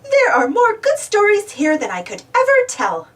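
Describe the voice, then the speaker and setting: A woman's voice: very high voice, huge pitch swings, moderate pace, fairly bright tone, distant mic, pitched above the performer's own voice, exaggerated voice. A woman voicing a cheerful woman storyteller in a storybook, enthusiastic.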